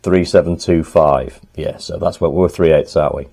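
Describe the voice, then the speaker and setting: A man talking casually to the camera in his home workshop, thinking aloud as he works, a little hoarse. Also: extremely low pitch, steady tone, moderate pace, dark tone. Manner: chatty, thinks aloud while working, frequent ums. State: slightly hoarse, clearing throat. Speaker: motorcycle restorer and channel host.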